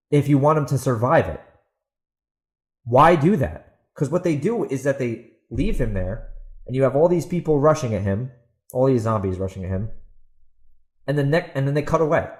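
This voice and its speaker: Male podcast host, mid-pitched, opinionated and blunt, casual ranting delivery with incredulous emphasis. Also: a little mellow. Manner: incredulous, emphatic, rhetorical questions. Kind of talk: casual opinionated rant reviewing a TV show.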